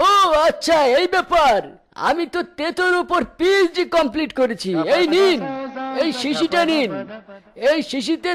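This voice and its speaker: A man's voice: very high voice, normal speed, noisy background. A man voicing a quirky, boastful doctor in a comic children's cartoon. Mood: smug. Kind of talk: Bengali children's cartoon dub.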